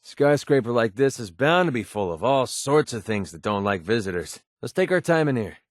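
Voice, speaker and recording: A man's voice: mid-range voice, big pitch swings, normal speed, no echo, studio quality, close to an everyday voice. An actor playing a cynical young mercenary gunman in a video game, talking in a casual, sardonic, world-weary way. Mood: dry, sarcastic. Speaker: game companion character. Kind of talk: scripted video game dialogue.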